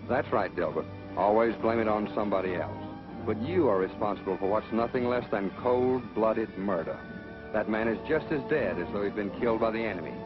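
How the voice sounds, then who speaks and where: An American man narrating a 1940s instructional film in a measured, authoritative, dryly sardonic manner. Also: lowish voice. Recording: dull old film soundtrack, music behind.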